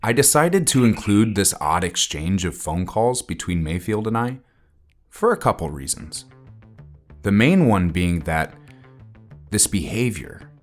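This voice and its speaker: Man playing a very low-voiced, earnest podcast interviewer. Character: earnest, nervous male podcast interviewer, a devoted fan. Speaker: host. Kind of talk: scripted podcast narration and mock interview.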